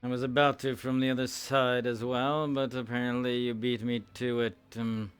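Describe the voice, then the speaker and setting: A man roleplaying a polite, commanding guild leader in a fantasy game, speaking in formal, measured wording. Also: medium pitch, level tone, clean tone, close to an everyday voice. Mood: formal, composed. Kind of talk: improvised online roleplay. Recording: game voice chat with music behind.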